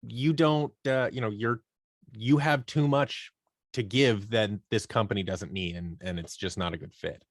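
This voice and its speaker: Male podcast guest, fairly low pitch, dry recording, informal conversational delivery. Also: normal speed, no room sound. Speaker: podcast guest, audio drama producer. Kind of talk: casual conversational interview answers.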